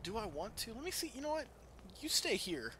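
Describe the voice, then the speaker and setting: Excitable, foul-mouthed man with lively pitch swings. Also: a little fast, a little hiss or hum. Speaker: gamer playing and commentating. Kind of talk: casual let's-play commentary.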